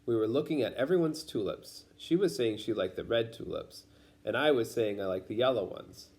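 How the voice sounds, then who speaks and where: A man reading a children's picture book aloud as a storyteller, pausing to explain things to young listeners. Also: mid-range voice, lively intonation, vocal fry, a little mellow. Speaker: storybook reader.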